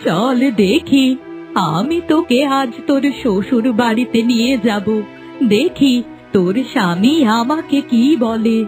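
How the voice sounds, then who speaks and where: An old cartoon mother, frail but devoted to her child. The voice is high and plaintive, and every phrase is slow and drawn out. Soft music plays underneath. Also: animated. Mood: weary, protective. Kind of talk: melodramatic cartoon acting.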